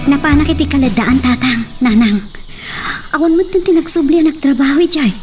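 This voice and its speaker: A woman's voice: high-pitched, fairly quick, lightly acted. A woman playing an earnest, dutiful young peasant daughter in an audio soap drama. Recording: distant mic, faint music behind.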